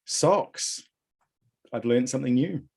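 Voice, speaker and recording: A man hosting a live online quiz, reading out questions and answer options in a measured way. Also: mid-range voice, expressive, gravelly, darkish tone, breathy edge. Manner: reads options aloud in a measured list. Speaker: quiz host at an online conference.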